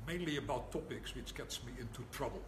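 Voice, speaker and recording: An older man with a Dutch accent gives a public lecture as a rabbi, speaking in a wry, deliberate way and pausing for the audience's laughter. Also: medium pitch, expressive. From Netherlands. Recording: echoey hall, audible room noise.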